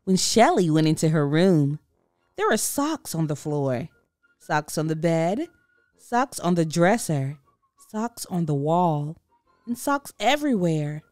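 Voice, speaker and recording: A woman reading a children's book aloud with expressive, deliberate storytelling. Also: sits low, no room sound, clean studio sound, soft background music. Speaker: storybook reader. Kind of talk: children's read-aloud narration.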